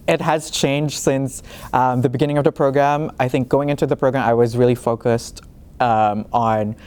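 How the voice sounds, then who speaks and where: A man's voice: medium pitch, a little mellow, clean tone. A young man from the Philippines, a master's student, talking about his program in a casual, hesitant way with many fillers and restarts. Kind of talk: casual talk to camera.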